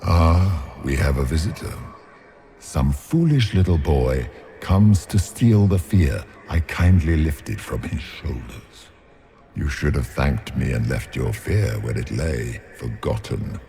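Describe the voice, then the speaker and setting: An actor playing an ancient fear demon in a video game, taunting with cruel mock politeness. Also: male voice, very deep voice, expressive, takes it slowly, mellow, slight background noise, heavily acted. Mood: mocking menace. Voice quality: gravelly, menacing. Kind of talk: video game villain acting.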